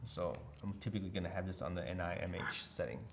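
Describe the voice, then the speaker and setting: A man with a fairly low voice walks through a product in a casual, hands-on way. The recording has noticeable background noise, with clicks of things being handled. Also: fast. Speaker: product demonstrator. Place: room with brick wall, at a table.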